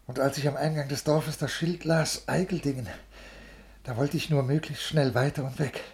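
An actor playing a stiff, agitated civil servant in a 1950s radio play, speaking formally and haltingly in a creaky voice. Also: male voice, fairly high pitch, steady tone, a little mellow, close to an everyday voice. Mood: defensive. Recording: 1950s radio studio recording.

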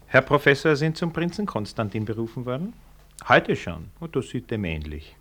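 An actor playing a shrewd, cynical senior ministry official in a 1960s German radio drama, speaking with dry, knowing irony. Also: male voice, mid-range voice, sing-song, normal speed, fairly bright tone. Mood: wry, teasing.

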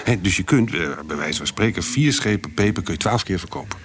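An actor playing a sly, shabby swindler in a Dutch radio play, wry and persuasive with a slightly hesitant delivery. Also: male voice, deep voice, expressive, brisk. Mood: wry, scheming. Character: sly, shabby male swindler pitching a shady trade. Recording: noisy radio play recording.

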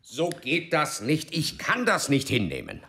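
An actor playing a cautious, dignified old statesman in a radio drama, speaking in a measured, formal, hesitant way. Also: a little high, wildly swooping pitch, pushed and bright, a little hiss or hum, full character voice. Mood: resolved, urgent. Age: older. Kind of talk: German radio drama acting.

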